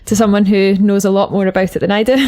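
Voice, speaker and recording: A woman's voice: mid-range voice, little pitch movement, fast, darkish tone. A woman from Glasgow, speaking as a podcast host in introductions and casual interview chat. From Glasgow, Scotland.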